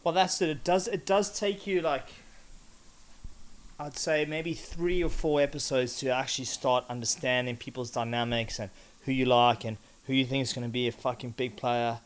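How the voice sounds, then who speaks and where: A man co-hosting a podcast, relaxed and agreeable, swearing casually. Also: medium pitch, moderate pace. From South Africa. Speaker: podcast co-host.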